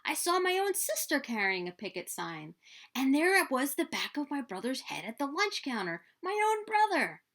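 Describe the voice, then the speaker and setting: A woman narrates a children's story aloud. Her voice sits a little high and moves up and down with great animation, as an expressive storyteller's does. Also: moderate pace. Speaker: children's story reader at a library. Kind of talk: expressive picture-book read-aloud narration.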